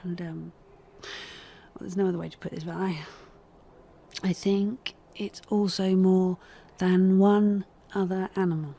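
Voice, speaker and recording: A woman playing a halting, slow-talking scientist. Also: on the low side, steady tone, mellow. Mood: uneasy. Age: 40s. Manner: hesitant, halting, many fillers and restarts. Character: guarded mid-forties woman forensic scientist. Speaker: forensic scientist. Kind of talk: audio drama acting.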